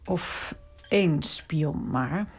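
An actress playing a dutiful princess daughter in a radio drama, speaking gently and deferentially. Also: female voice, deep voice, drawn-out words, gravelly, husky, heavily acted. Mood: earnest.

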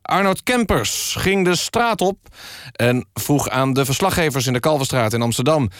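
A man's voice: medium pitch, animated, normal speed, no echo. A man presenting a mock-serious radio magazine and interviewing guests from a studio.

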